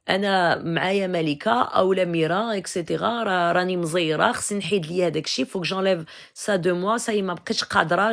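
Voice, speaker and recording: A woman's voice: medium pitch, gravelly. A middle-aged woman with a Moroccan accent, hosting a live stream and advising viewers, peppering her talk with "euh" and "d'accord?" asides. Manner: code-switching, frequent "euh" and "d'accord?" asides.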